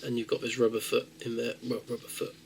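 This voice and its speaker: A man's voice: mid-range voice, monotone, fast talker, gravelly, slight background noise. A man with a cold and a nasal voice, talking viewers through a tech fix in a rambling, casual way with lots of fillers. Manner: rambling with many fillers and restarts. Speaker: tech video host. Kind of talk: casual how-to talk-through.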